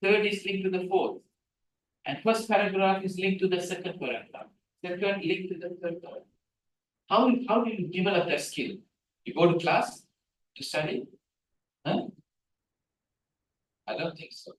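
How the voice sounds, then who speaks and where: A man's voice: high-pitched, normal speed, pauses often, slight background noise. Echoey lecturing man, native Tamil speaker. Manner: animated, asks the room questions. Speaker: guest lecturer. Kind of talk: lecture on scientific writing. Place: seminar room, hybrid session. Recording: echoey room from a distance.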